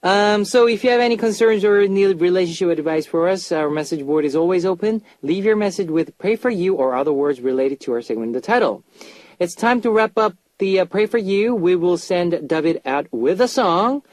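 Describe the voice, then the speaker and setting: A man with a fairly high voice hosts a music radio show in a casual, chatty way. He tends to repeat short phrases as he goes. The sound is very dry, with no room around it. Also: expressive, normal speed, a little mellow, dry recording.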